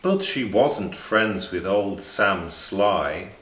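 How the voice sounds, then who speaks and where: Male children's story narrator: slow, rather low, expressive and animated, recorded in an echoing room. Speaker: story reader.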